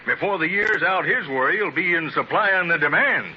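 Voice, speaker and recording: An actor playing a folksy, plain-spoken farmer, easily amazed, in a 1930s radio drama. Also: male voice, a little high, animated, normal speed, lightly acted. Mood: puzzled. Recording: dull, hissy 1930s radio transcription.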